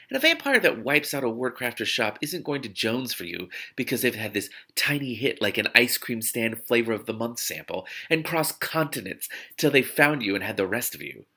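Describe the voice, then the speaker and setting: Male read-aloud host, bright and creaky, enthusiastic, storytelling delivery with off-the-cuff reactions. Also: mid-range voice. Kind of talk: expressive audiobook-style reading with spontaneous asides.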